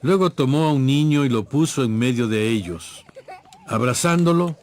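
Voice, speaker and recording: A man narrating a scripted scripture story in a measured storytelling manner. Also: mid-range voice, lively intonation, a little mellow.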